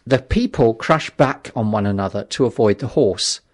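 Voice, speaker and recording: A man reading a literary story as an audiobook narrator, with a dramatic, measured delivery. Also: on the low side, lively intonation, darkish tone.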